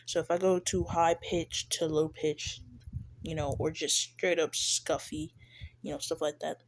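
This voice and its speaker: A congested, stuffy-sounding speaker talks slowly through a reaction commentary. The delivery is shy and hesitant, full of fillers and restarts, at a middling pitch. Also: level tone, a little hiss or hum. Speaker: reaction video creator. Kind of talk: casual commentary on a played clip. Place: lives in Texas.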